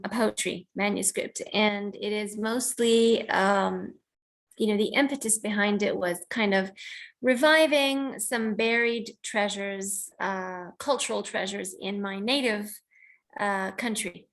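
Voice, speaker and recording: A woman's voice: mid-range voice, takes it slowly, a bit bright. A woman from the United States hosting an online poetry reading, introducing and reading her own work in an informal, reflective, explanatory way. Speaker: host of a poetry reading and poet. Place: online video call.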